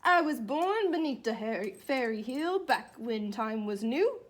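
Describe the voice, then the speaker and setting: A woman's voice: on the high side, animated, measured pace. A woman reading the part of a feisty, proud little old leprechaun cobbler from a storybook, with boastful, folksy phrasing. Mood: proud, storytelling.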